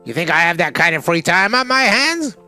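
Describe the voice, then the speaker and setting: A man's voice: sits high, sing-song, brisk, sharp tone, soft background music, exaggerated voice. A man voicing a boastful, sarcastic robot bear villain from a video game, with theatrical, taunting delivery.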